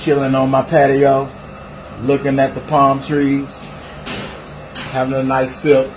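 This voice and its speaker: A man vlogging from his patio, relaxed and preachy, urging viewers with "y'all" and "baby". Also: medium pitch, measured pace, over music, rough recording. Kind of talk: casual selfie vlog. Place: outdoor patio.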